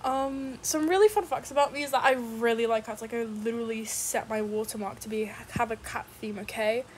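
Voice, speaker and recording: Young Polish woman, high voice, playful and emphatic, faint noise. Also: brisk. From Poland. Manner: playful, repeats herself with emphasis. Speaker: contestant. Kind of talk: casual self-introduction.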